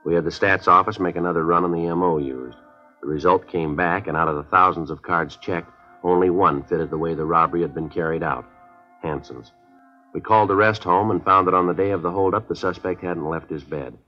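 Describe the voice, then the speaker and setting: A man acting as a terse American police detective from a 1950s radio drama, very low in pitch and quick in pace. He narrates in a clipped deadpan. The old recording is muffled. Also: faint music behind, natural voice. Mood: flat, businesslike. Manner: deadpan, clipped, matter-of-fact. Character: terse, businesslike male police detective narrating his case.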